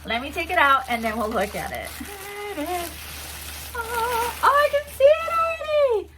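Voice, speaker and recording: A woman's voice: very high-pitched, very animated, normal speed, heavy background noise. A woman chatting casually to camera as she unboxes a gift box, bubbly and giggly, seated on a bouncy ball at home. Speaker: vlogger unboxing a swap box.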